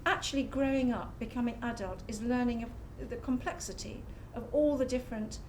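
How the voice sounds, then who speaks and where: A woman on a discussion panel, her voice sitting fairly high. She argues her point in an earnest, measured way. A faint low rumble runs behind her. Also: a little mellow. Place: public talk venue.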